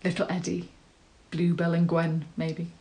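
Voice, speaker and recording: A woman's voice: on the low side, monotone, a little slow, mellow. An actress performing a dramatic monologue as a nervous, tender woman, earnest, hesitant and self-deprecating. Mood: tender, hopeful. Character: nervous, tender, rambling woman proposing marriage.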